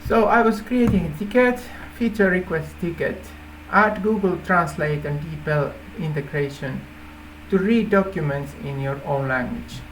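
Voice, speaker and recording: Male presenter, native Finnish speaker with Finnish accent; unhurried, hesitant explaining, light noise behind. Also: on the high side, a bit bright, poor audio. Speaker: app developer presenting a feature idea. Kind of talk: informal explainer to camera.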